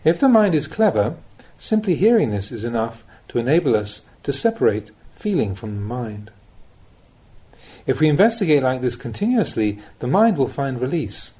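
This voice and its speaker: A man reading a spiritual teaching aloud as an audiobook, with measured, deliberate phrasing and pauses. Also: mid-range voice, mellow, slightly rough. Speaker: Buddhist monk reading recorded teachings. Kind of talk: audiobook reading of a Dhamma talk.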